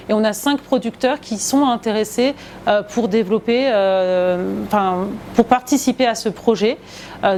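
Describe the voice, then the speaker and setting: A woman talks in an explanatory interview as a local project representative. Her voice sits at a middle pitch, with faint ambient noise behind her. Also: a little mellow.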